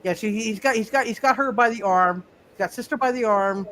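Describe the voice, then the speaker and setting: A high-pitched man acts as game master in a live online roleplay session. He works out rulings aloud in a halting, repetitive way, improvising as he goes. Faint noise sits behind his voice. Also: bright, forceful tone. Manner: halting, repeats words and phrases. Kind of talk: casual, improvised game refereeing.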